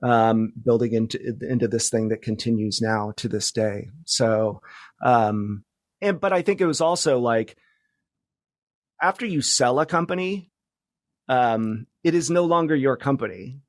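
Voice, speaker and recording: A man speaking as an interview guest in casual conversation, reflective and halting, often restarting his thoughts. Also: mid-range voice, no echo, studio quality. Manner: halting, reflective, many false starts. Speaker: podcast guest, media founder and journalist.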